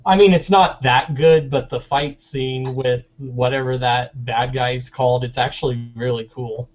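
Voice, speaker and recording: A man's voice: medium pitch, steady tone, unhurried. A man talking casually and informally, giving his opinions over a dull-sounding online hangout call. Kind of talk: casual chat. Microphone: online video hangout.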